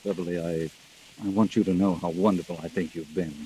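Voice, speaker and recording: An actor playing an earnest, troubled husband, dramatic and emphatic, in a 1940s radio mystery. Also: male voice, low-pitched, steady tone, fairly quick, mellow, lightly acted. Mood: hushed, troubled. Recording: hissy, noisy old radio recording.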